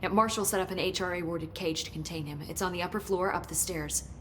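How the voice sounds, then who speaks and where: A woman's voice: lowish voice, slight background noise, close to an everyday voice. Woman acting an earnest, analytical scientist. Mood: thoughtful, concerned. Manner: thoughtful, measured speculation. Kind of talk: video game cutscene acting.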